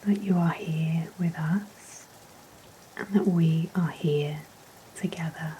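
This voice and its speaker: Woman doing sleep hypnosis talk down, super dark soft breathy whisper, real slow, rain in the background. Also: low voice, slight background noise, poor audio. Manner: gentle, soothing, unhurried with long pauses. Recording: steady rain sounds behind voice. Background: rain ambience.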